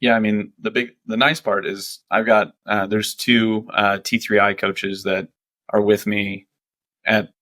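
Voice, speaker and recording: A man's voice: lowish voice, level tone, measured pace, fairly bright tone, no echo. A man, a strength and conditioning coach, speaking casually as a podcast guest, laughing often and talking loosely. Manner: laid-back, laughs often, loose filler-heavy talk.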